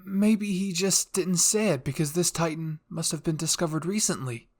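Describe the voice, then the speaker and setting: A man's voice: fairly high pitch, normal speed, voice pushed up. A man voicing a thoughtful, tentative teenage boy soldier, reasoning aloud in an anime fan roleplay.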